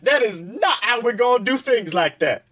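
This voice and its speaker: A man voicing an excitable, sassy talking donkey who is easily offended and exclaims indignantly. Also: extremely high pitch, normal speed, pitched above the performer's own voice, exaggerated voice.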